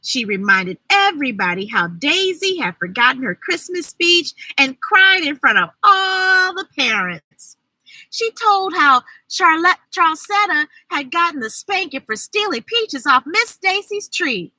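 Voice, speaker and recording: A woman storyteller with a high voice, recorded close and dry. She reads to children at an unhurried pace, expressive and deliberate, dropping in comments of her own. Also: dry recording, poor audio. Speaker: storyteller, host. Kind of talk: children's storybook read-aloud with asides.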